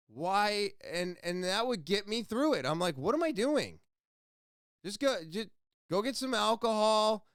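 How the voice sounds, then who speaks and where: A man's voice: on the high side, a bit bright, smooth, dry recording, studio quality. A man hosting his own podcast, talking alone in a casual, earnest and rambling way, blunt and swearing freely. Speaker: podcast host. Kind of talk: casual solo podcast monologue.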